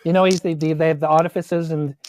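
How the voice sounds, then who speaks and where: A man, improvising casual role-play in a halting, politely apologetic way. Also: a little high, level tone, a little mellow, slight background noise. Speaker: tabletop game player.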